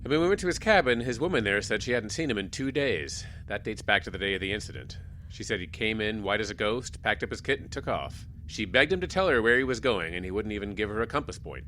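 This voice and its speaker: An actor playing a calm, stubborn small-town sheriff who speaks patiently but firmly in a radio play. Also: male voice, fairly low pitch, fast, a little hiss or hum. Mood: steady. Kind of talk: radio drama acting.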